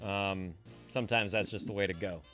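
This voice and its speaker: A man's voice: low-pitched, fairly even intonation, normal speed, fairly bright tone, faint music behind. A man from the Bay Area hosting a livestream in casual chat, playful and teasing, laughing often and swearing casually. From California, Bay Area. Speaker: livestream host.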